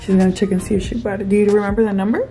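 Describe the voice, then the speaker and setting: A woman's voice: mid-range voice, fairly even intonation, very fast, over music. Laughing woman in her twenties vlogging. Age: 20s. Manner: laughing, teasing, profane banter. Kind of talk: casual chat. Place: hotel room, indoors. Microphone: handheld camera close up.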